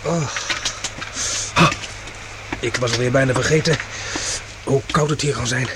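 An actor playing a grumbling, wry companion on a stealthy mission in a science fiction radio drama, talking informally. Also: male voice, medium pitch, measured pace, heavy background noise, lightly acted. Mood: chilly, game.

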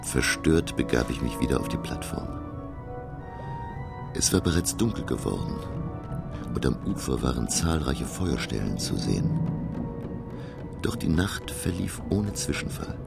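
Very deep, gravelly storytelling professor. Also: male voice, sing-song, exaggerated voice. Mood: uneasy, dramatic. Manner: literary, measured storytelling. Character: adult male professor recounting his sea adventure. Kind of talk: scripted audio drama narration in past tense. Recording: loud music behind the voice.

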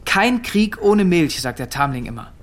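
An actor playing a shy, earnest seventeen-year-old farm milker in a German radio drama. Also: male voice, sits high, big pitch swings, moderate pace. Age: young adult. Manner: hesitant, modest, earnest.

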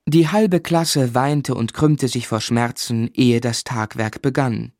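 Reflective storyteller, dry studio sound. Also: male voice, mid-range voice, steady tone, darkish tone, no echo. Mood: wistful, wry. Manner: measured, articulate, wryly reflective. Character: reflective grown man narrating his boyhood memories. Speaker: narrator. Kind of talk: literary scripted narration in a radio drama.

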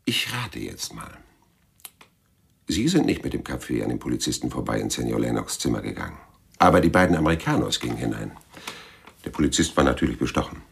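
A man's voice: extremely low pitch, big pitch swings, a little fast, vocal fry, husky, full character voice. An actor playing a weary, cynical private detective who tells his own case dryly and laconically in a radio play. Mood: coolly accusing. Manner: dry, laconic. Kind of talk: radio play narration and dialogue.

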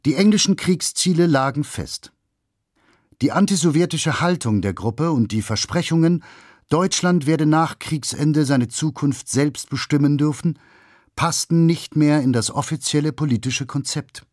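A man reading scripted documentary narration in a measured, factual manner. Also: a little high, expressive, darkish tone, no room sound, studio quality.

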